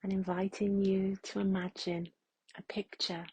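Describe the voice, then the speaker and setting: A woman leading a guided meditation in a soft, hushed, breathy voice, gently and with long unhurried pauses. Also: mid-range voice, level tone, low-quality recording.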